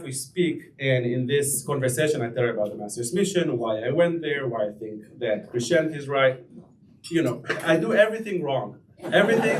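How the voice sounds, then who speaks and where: A man in his thirties with an Israeli accent, giving a personal testimony at a lunch event, halting and self-deprecating with many fillers and restarts. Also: mid-range voice, a little hiss or hum. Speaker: guest speaker. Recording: distant mic with faint room ambience.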